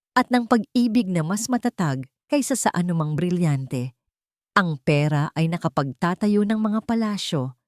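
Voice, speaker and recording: A woman narrating a scripted sentimental story in a measured, earnest way. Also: on the low side, darkish tone, no room sound, clean studio sound. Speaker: storyteller, narrator of audio drama. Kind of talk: scripted dramatic first-person narration.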